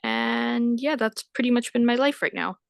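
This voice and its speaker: A woman's voice: a little high, moderate pace, creaky, no echo. Woman in her role as outreach director, casual and slightly unsure, on a dry video call. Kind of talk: casual self-introduction.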